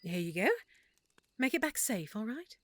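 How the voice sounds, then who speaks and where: A woman's voice: on the high side, big pitch swings, moderate pace, heavy background noise. A woman playing a shy half-elf shopkeeper. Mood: shy, tender. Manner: stammering, soft and nervous. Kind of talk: audio roleplay acting.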